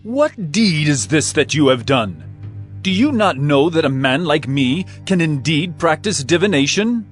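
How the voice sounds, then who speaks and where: A man's voice: on the high side, sing-song, a little slow, faint music behind. A man voicing Joseph, a commanding ruler in Egypt, in a dramatized scripture reading. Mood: stern.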